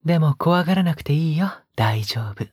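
A male actor voices a soft-spoken young man with a very breathy, husky voice. He coaxes tenderly, with a possessive edge, often slipping into a whisper. The audio drama sound is intimate, close and dry. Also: a little high, lively intonation, moderate pace, no echo. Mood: tender, coaxing.

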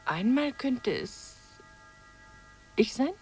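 An actress playing a confused, insistent woman in an intimate radio play, often dropping into a breathy whisper. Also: fairly high pitch, very animated, very slow, a little mellow, soft background music, full character voice. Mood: hesitant, searching. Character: confused, insistent woman before a painter's mirror.

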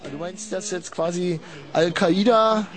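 A man's voice: high voice, measured pace, faint music behind. A man in comic spoken dialogue, asking probing, rambling questions.

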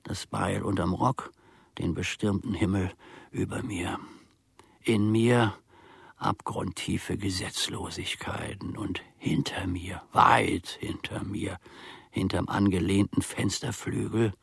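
A man's voice: low voice, steady tone, slow, vocal fry, slightly rough, no echo, exaggerated voice. A man playing an aggrieved, world-weary old manservant in a radio drama, telling his story wryly and theatrically in quaint, clipped phrases. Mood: conspiratorial, wry. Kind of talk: monologue radio drama acting.

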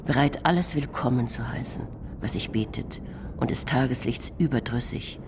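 A woman performing poetry in a radio drama in a hushed manner, over music. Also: very deep voice, moderate pace, background noise. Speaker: performer reciting German translation. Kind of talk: poetic radio drama recital. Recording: radio production with loud music underneath.

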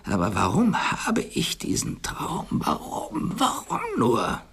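An actor in a German radio play voicing a capricious, agitated millionaire with a trembling voice that turns shrill, vehement and imperious. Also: male voice, huge pitch swings, slow, fairly bright tone, exaggerated voice. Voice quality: husky, creaky, trembling, turning shrill. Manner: vehement, repeats words, imperious. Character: capricious, agitated millionaire obsessed with a nightly dream.

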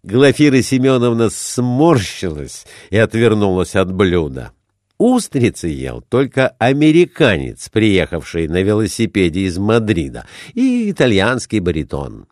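A man narrating literary fiction as an audiobook reader, in an expressive, theatrical and deliberate storytelling style. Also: lowish voice, no room sound, studio quality.

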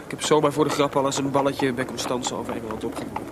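A man's voice: mid-range voice, fairly even intonation, racing, natural voice. Man acting anxious, hesitant composer, noisy recording. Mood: troubled. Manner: hesitant, stammering when unsettled. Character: Mozart, anxious, torn, lovesick composer. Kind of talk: Dutch radio play acting.

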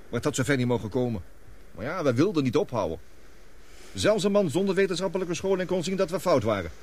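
An actor playing a gloomy, guilt-ridden scientist in a radio play, speaking gravely and deliberately. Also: male voice, fairly high pitch, sing-song, darkish tone. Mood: bitter regret. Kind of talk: radio drama acting.

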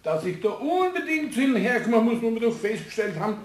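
Echoey old radio play w faint noise, polite visitor, formal, high lilting voice. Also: male voice, big pitch swings, fast. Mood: courteous. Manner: formal, courteous. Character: polite, ceremonious male neighbourly birthday visitor. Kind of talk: German radio play for children. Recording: roomy, noisy old radio play recording.

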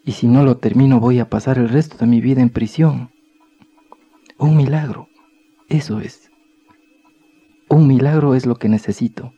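An actor playing a worried builder in a radio drama, talking in an anxious, pleading, hushed way. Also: male voice, mid-range voice, steady tone, moderate pace, long pauses, husky. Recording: dull lo-fi recording with faint music.

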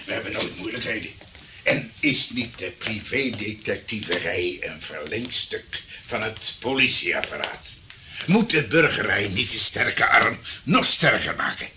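An actor playing a smooth-talking, pompous private detective colleague in a radio drama, oratorical in manner. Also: male voice, on the low side, lively intonation, unhurried, noisy background. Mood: smooth persuasion. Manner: oratorical, ingratiating.

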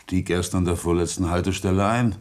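An actor in a German radio drama playing a meek, conciliatory farm brother who talks hesitantly and quietly. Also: male voice, sits low, fairly even intonation, brisk, lightly acted. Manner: hesitant, subdued.

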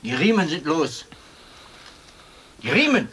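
An actor playing a simple, excitable condemned prisoner who cries out in short bursts in a German radio drama. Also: male voice, a little high, takes it slowly. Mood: agitated. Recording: noisy radio drama recording.

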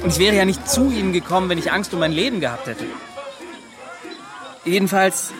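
An actor playing an earnest, excitable young adventurer in a German radio play, speaking with impassioned emphasis. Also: male voice, high-pitched, a little fast, a crowd in the background. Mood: agitated. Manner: impassioned, emphatic, breaks into short repeated questions. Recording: music under the voice.

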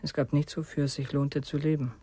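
Troubled young man, slightly high voice. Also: flat delivery, mellow, slightly rough, dry recording. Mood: halting, confessional. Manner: halting, confessional. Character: troubled, distrustful young man confessing to extremist past. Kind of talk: German radio crime drama acting.

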